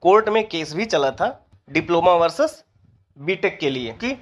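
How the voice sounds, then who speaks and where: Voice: mid-range voice, expressive, moderate pace, lo-fi sound. A presenter giving an informational explainer, methodically reading out details and figures. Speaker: exam update presenter.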